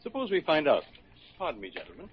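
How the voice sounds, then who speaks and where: An actor in an old radio drama playing a fair-minded judge, formal, courteous and measured. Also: male voice, on the high side, wildly swooping pitch. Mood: courteous, interested. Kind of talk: 1940s radio acting. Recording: dull, noisy old radio recording.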